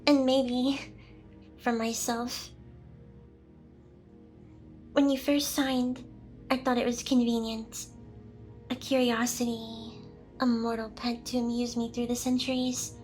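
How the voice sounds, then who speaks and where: A woman's voice: on the high side, little pitch movement, slow, pauses often, darkish tone, soft background music. A woman playing a smug, seductive, possessive demon boss in an ASMR roleplay, with a teasing, theatrical delivery. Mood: intense, vulnerable.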